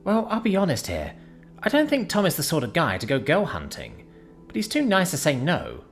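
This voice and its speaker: A man's voice: a little high, huge pitch swings, moderate pace, faint music behind, voice pushed up. A man voicing a brash young woman who teases her friend and talks bluntly. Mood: teasing. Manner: chatty, mocking, blunt.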